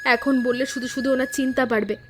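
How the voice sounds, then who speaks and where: A woman's voice: sits high, fairly even intonation, quick pace, background noise, close to an everyday voice. A woman voicing an earnest, dutiful village daughter-in-law in a cartoon story, worried but resolute. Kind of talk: Bengali cartoon story dialogue.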